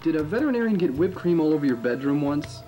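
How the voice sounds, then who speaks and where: A man's voice: a little high, very animated, fast talker, dark tone, faint music behind. A man acting an earnest, hesitant ordinary guy in an amateur film. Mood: hesitant, puzzled.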